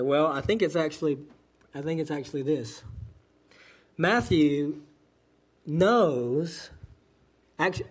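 A man, preaching a sermon as pastor and explaining scripture with frequent self-corrections. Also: on the high side. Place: church sanctuary.

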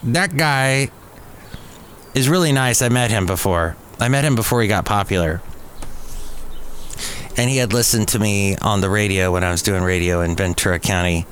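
A man's voice: fairly low pitch, moderate pace, long pauses, fairly bright tone. A man hosting a solo podcast, delivering entertainment news commentary in a wry, opinionated way. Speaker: podcast host. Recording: clear background noise and ambience.

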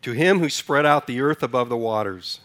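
A man's voice: medium pitch, normal speed, a bit bright. A man from Sudan preaching a sermon to a congregation, talking casually, putting questions to them and then leading a responsive reading line by line. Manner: conversational, asks the congregation questions. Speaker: pastor.

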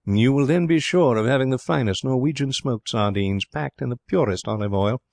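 A man's voice: mid-range voice, creaky, a little mellow, no echo, voice pushed up, close to an everyday voice. A man voicing a big, earnest, devoted young outdoorsman in a storybook. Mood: earnest, eloquent. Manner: halting at first, eloquent reading aloud.

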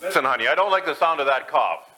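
A man voicing a husband who grows colder toward his sick wife, in a brisk, impatient manner. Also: on the high side, animated, bright, forceful tone, slight background noise, pitched above the performer's own voice. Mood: mock concerned.

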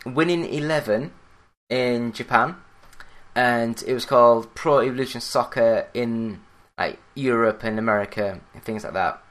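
Podcast host from Yorkshire, English male, average pitch, plain monotone read. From Yorkshire, England. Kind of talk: solo gaming news podcast.

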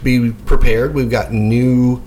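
A man's voice: medium pitch, little pitch movement, slow pace, warm tone, a little hiss or hum. A man co-hosting a casual radio show, rambling with lots of fillers and jokes. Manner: rambling, filler-heavy, joking. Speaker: podcast co-host.

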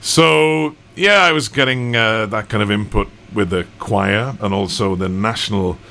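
A man's voice: on the low side, unhurried, bright, forceful tone. A Welsh man in his forties, a singer chatting as a studio guest on a radio show, self-deprecating and full of restarts. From Wales. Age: 40s. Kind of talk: casual radio interview chat.

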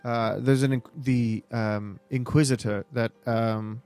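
A man playing a hesitant, apologetic, helpful apothecary shopkeeper in improvised tabletop roleplay, speaking haltingly and politely. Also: mid-range voice, steady tone, darkish tone, soft background music.

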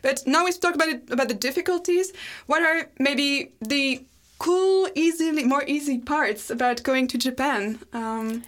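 A woman's voice: sits high, normal speed. A young woman hosting a casual talk show, chatty, with many fillers and restarts. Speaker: host.

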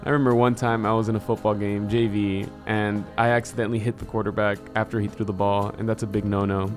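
A young man chatting as a guest on a student podcast, telling lively stories and acting out quotes. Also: fairly low pitch, quick pace, faint music behind. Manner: lively storyteller, acts out quotes. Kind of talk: casual podcast chat.

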